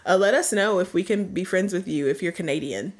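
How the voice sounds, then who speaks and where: A woman co-hosting a podcast in casual, jokey chat, laughing often and trailing off into quiet asides. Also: on the low side, a little fast. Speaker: podcast co-host.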